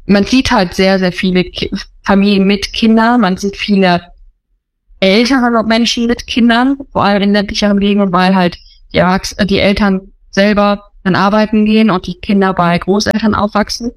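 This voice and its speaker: A woman's voice: medium pitch, fairly even intonation, moderate pace, no echo. A woman in her thirties, a missionary, telling her story in an interview, earnest and often restarting her sentences. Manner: earnest, searching for words, restarts. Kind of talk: casual interview talk.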